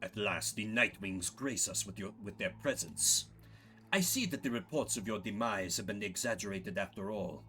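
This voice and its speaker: Game villain voiced by a man: haughty, resentful robed rival, sharp tone, theatrical swings of pitch. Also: animated, moderate pace, soft background music. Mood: bitter, sneering. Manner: pompous, grudge-bearing. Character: bitter, vengeful male rival in a gold robe.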